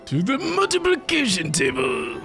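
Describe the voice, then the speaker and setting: A man's voice: extremely high pitch, wildly swooping pitch, normal speed. A man giving casual live commentary as he plays a game, muttering playful reactions. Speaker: game streamer. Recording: game music clearly behind the voice.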